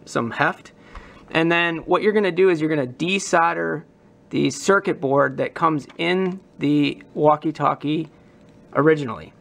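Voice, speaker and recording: Guy w a bit of a higher voice doing a casual diy how-to, unscripted, kinda talking himself thru it. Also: vocal fry, a bit bright. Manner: unscripted, thinking aloud as he works. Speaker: hobbyist demonstrating a prop modification. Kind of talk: casual hands-on tutorial.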